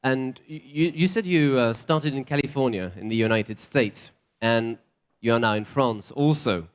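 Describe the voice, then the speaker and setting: A man's voice: medium pitch, normal speed, smooth. A man interviewing guests at a trade show, asking short questions and giving brief acknowledgements.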